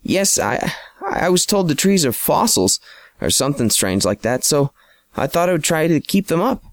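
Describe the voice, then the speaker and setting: A man's voice: a little high, sing-song, moderate pace, creaky, no echo, studio quality, voice pushed up. A man doing a flustered, hesitant homeowner woman. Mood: apologetic. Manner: hesitant, apologetic.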